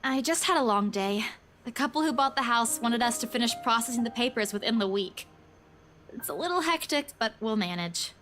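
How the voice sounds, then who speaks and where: A woman's voice: on the high side, expressive, brisk, fairly bright tone. A woman voicing a sassy, defensive young woman in a visual novel, bantering back and forth. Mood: weary, casual.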